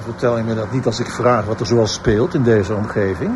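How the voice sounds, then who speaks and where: An actor playing a senior police detective in a radio drama, dry, ironic and commanding in manner. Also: male voice, fairly low pitch, fairly even intonation, brisk, background noise, close to an everyday voice. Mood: probing, insistent.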